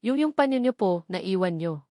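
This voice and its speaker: Gentle, soft-spoken young woman for an audio romance, played by a woman narrator; polite and hesitant, dry studio sound. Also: medium pitch, lively intonation, normal speed, dry recording, lightly acted. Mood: polite, concerned.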